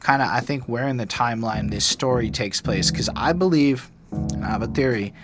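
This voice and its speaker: Man, podcast co-host, casual album discussion, rambling analytical delivery, medium pitch, clear background music. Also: moderate pace. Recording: music playing behind the voice.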